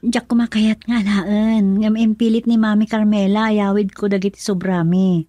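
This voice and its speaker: A woman's voice: mid-range voice, flat delivery, normal speed, mellow, natural voice. A woman playing a meek, wistful young wife and trained nurse in an Ilocano radio drama. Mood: hesitant.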